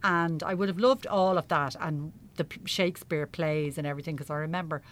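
Low-voiced Irish woman, chatty. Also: fairly quick. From Ireland. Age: middle-aged. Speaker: interview guest, amateur actor. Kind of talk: casual chat, anecdotes.

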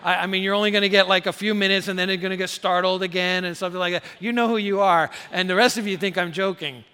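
A middle-aged male pastor preaching in a large church, his voice high and bright. He talks casually, stumbling over and repeating the first words of his phrases. A long hall reverb trails behind every sentence. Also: fairly even intonation, fast, slight background noise. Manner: loud rallying shouts of "three claps". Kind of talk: sermon.

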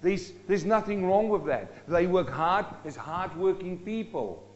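A man from South Africa preaching a sermon, swinging between loud rising questions and low, slow emphatic drops. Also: animated, highs cut off. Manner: dynamic, rising and dropping sharply for emphasis.

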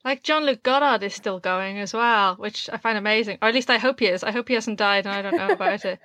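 A young woman hosting a film podcast, chatting casually with lots of fillers and quick laughs. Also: mid-range voice, bright, forceful tone, no room sound. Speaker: podcast host. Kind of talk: casual chat.

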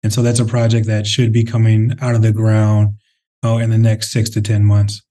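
Man, company head, interview guest, warm mellow tone, steady even delivery, thoughtful with fillers. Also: fairly low pitch, normal speed. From Minnesota, Twin Cities. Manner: thoughtful, many fillers and restarts. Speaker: real estate CEO, interview guest. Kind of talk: conversational interview answers.

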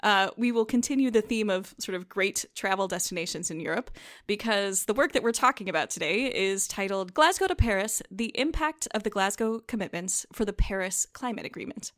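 A woman hosting a podcast, reading a measured scripted introduction and then interviewing her guest. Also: medium pitch, animated, a bit bright, no echo, studio quality. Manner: measured, with small filler restarts. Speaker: podcast host.

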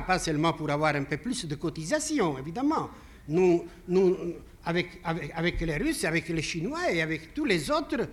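A man's voice: high-pitched, a bit bright. A man addressing a literary gathering in an emphatic formal manner, stumbling and repeating words. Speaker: conference speaker.

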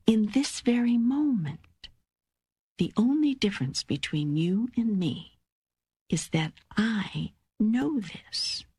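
A woman narrating an audiobook, voicing God as a serene, patient, all-knowing teacher in a measured, deliberate, emphatic way. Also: on the high side, no room sound, clean studio sound. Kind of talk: scripted audiobook reading of spiritual dialogue.

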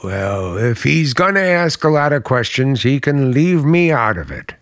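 An actor playing a charming but secretive and bitter uncle in an old-time radio drama, hesitant one moment and sharp the next. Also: male voice, mid-range voice, big pitch swings, measured pace, dry recording, studio quality. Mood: scornful.

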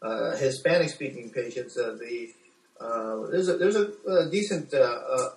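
A middle-aged American man answering interview questions as a doctor, speaking haltingly with repeated words. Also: medium pitch, expressive. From United States. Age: 40s. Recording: echoey room, distant from microphone.

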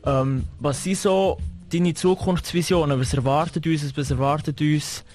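A man's voice: fairly high pitch, fairly even intonation, a little slow, a little mellow, soft background music. A man with a Swiss German accent hosting a casual radio interview, speaking informally with English slang mixed in.

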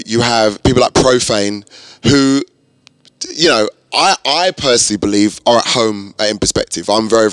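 A man's voice: fairly low pitch, fairly bright tone, dry recording. A man in his forties, a guest producer chatting casually and laughing at himself. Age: 40s. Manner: laughs easily, self-deprecating. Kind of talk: casual chat.

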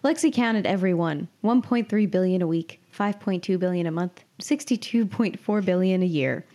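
A woman's voice: lowish voice, normal speed, warm tone. A woman in her twenties co-hosting a podcast, giggly and self-mocking as she chats and reads her own comic story. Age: 20s. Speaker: podcast co-host and writer. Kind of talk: casual banter and reading own comic story aloud.

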